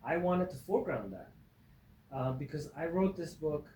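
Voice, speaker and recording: A man's voice: on the high side, sing-song, normal speed, a little mellow, reverberant, slight background noise, rough recording. A man in his forties from Iran, a professor guest who talks in a wry, joking way with many fillers. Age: 40s. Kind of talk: interview conversation.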